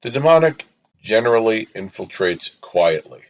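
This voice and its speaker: A man giving scripted spiritual teaching as a podcast host, solemn and deliberate, in short emphatic phrases. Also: lowish voice, sing-song, dull audio.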